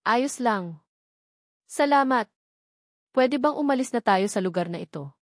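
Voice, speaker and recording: A woman with a Filipino accent voicing a timid, sad young heroine in an audiobook, soft-spoken and hesitant. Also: fairly high pitch, animated, measured pace, dry recording, clean studio sound. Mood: sad, resigned.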